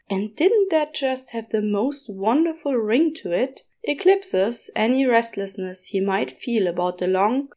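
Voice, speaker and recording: A woman's voice: medium pitch, a little mellow. A woman reading a story aloud as scripted audiobook-style narration. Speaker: podfic narrator. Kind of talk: scripted narration of fan fiction.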